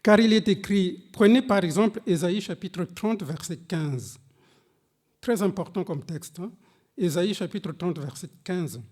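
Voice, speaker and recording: A man preaching a sermon in French, which is not his first language. He speaks with emphatic, swooping delivery, reads scripture aloud and addresses the congregation directly. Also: darkish tone. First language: a language other than French. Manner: slow, emphatic preaching with dramatic pitch swings, reading scripture aloud. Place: church service.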